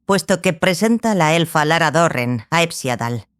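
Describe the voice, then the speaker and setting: Grave, measured scholarly sorceress. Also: female voice, low-pitched, lively intonation, smooth. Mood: instructive. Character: stern, obsessive scholarly sorceress, the Lady of the Lake. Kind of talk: dramatized audiobook dialogue.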